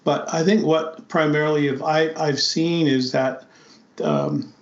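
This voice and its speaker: A man from South Dakota speaking as a podcast guest, thoughtful and halting, often repeating his words as he reflects. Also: on the high side, steady tone, reverberant, lo-fi sound. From South Dakota, United States. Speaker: podcast guest, flute player. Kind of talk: reflective conversation.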